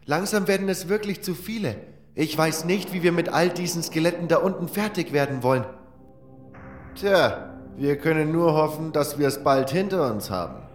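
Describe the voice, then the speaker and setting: A man's voice: on the high side, lightly acted. A man playing a weary, earnest adventurer in a fantasy fan audio drama. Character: weary, worried adventurer comrade in a fantasy party. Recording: roomy echo, faint music behind.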